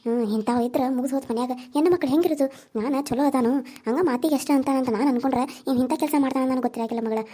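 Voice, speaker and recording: A woman's voice: high-pitched, rapid-fire, dark tone, pitched below the performer's own voice. A woman voicing a stern older village mother-in-law with a North Karnataka accent, talking in a bossy, scolding way. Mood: indignant. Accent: North Karnataka Kannada.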